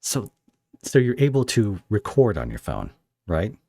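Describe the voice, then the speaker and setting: Deep-voiced man with animated, swooping delivery. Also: vocal fry, no room sound, clean studio sound. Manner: effusive, halting, thinking aloud. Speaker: podcast host interviewing a creator. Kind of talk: casual conversational interview.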